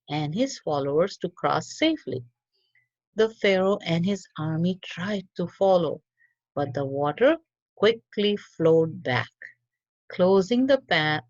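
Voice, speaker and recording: A woman reading a religious story for children in an expressive, teacherly way. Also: deep voice, dry recording. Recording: dull lo-fi audio.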